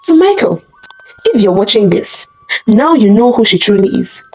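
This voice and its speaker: A woman's voice: mid-range voice, big pitch swings, normal speed, warm tone, poor audio. A woman storyteller voicing a wronged woman back for revenge, cool, resolute and declamatory in a dramatic folktale. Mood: cold accusation.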